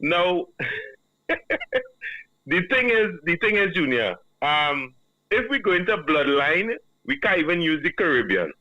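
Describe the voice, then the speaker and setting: A man with a Trinidadian accent, calling in to a radio show and giving a measured, earnest opinion. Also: a little high, very animated, pushed and bright, no room sound. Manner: measured, earnest, reasoning aloud. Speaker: caller. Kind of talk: call-in radio commentary. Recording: dull, dry phone line.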